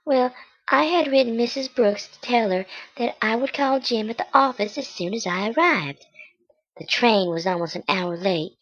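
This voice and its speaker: A woman playing a polite young lady in an old-fashioned radio mystery, with measured, deliberate delivery. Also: fairly high pitch, fairly bright tone. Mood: subdued, helpful. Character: polite young woman visitor, secretly a murderer. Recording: dull, lo-fi amateur radio-drama recording.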